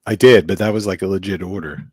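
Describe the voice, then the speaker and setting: A man's voice: deep voice, quick pace, a little mellow, slightly rough, no echo, studio quality. A man hosting a casual podcast chat from New Jersey, joking and rambling with lots of fillers. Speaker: host.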